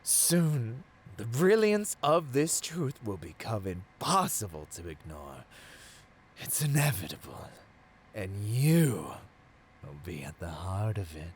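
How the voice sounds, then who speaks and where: Theatrical, slow, seductive supervillain. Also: male voice, fairly low pitch, sing-song, full character voice. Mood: grandiose, seductive. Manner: theatrical, grandiose, slow savoring delivery with breathy drops to whispers. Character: gloating seductive male supervillain taunting a caged hero. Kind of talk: ASMR villain roleplay monologue.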